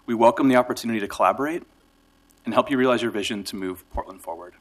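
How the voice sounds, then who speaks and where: A man delivering a prepared, formal and measured speech as a nonprofit director in a council chamber. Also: mid-range voice, low-quality recording.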